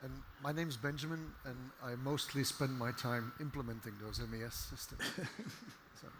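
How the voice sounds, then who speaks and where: A man speaking as a panelist who implements systems, with a measured, thoughtful way of talking. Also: mid-range voice, steady tone, a crowd in the background. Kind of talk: panel discussion.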